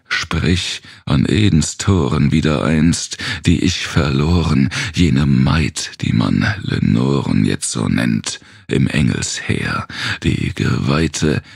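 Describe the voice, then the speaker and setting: Male narrator for Gothic verse: brooding, theatrical, heavy gravel in the throat, very close dry sound. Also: very deep voice, a little mellow, dry recording. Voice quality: gravelly, husky, rasping. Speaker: narrator reading a horror poem aloud. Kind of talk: dramatic literary recitation, dark Gothic poetry.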